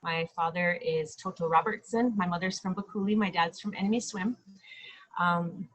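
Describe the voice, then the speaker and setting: Earnest middle-aged woman, measured pace. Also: lowish voice, steady tone, fairly bright tone. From Lake Traverse Reservation area, South Dakota. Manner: earnest, measured, with frequent ums and pauses. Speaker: election candidate. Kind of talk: live video self-introduction. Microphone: webcam or phone, live video stream.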